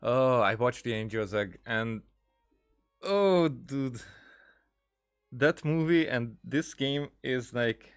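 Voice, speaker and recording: A man giving casual game playthrough commentary as a streamer, thinking aloud haltingly and repeating words. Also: mid-range voice, expressive, clean tone, soft background music. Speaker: gaming streamer.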